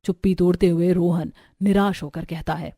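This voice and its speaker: A woman's voice: on the low side, steady tone, moderate pace, warm tone. A woman reading scripted story narration as the narrator.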